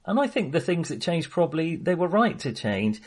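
A man's voice: on the high side, very animated, a little fast. A man co-hosting a casual film discussion, speaking in a hesitant, self-qualifying way. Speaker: podcast co-host discussing films.

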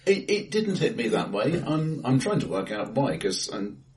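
A man co-hosting a casual film discussion, halting and repeating words as he thinks, in a bright, echoey room. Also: mid-range voice, big pitch swings, distant mic, crowd noise. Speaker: film podcast co-host. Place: indoors in an acoustically bright room.

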